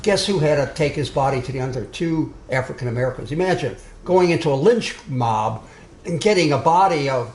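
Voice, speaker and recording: A man giving an informal history lecture to a small live audience, speaking loudly and wandering into asides and restarts. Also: on the high side, lively intonation, brisk, reverberant. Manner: loud, digressive, with many restarts and asides. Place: meeting room with a live audience.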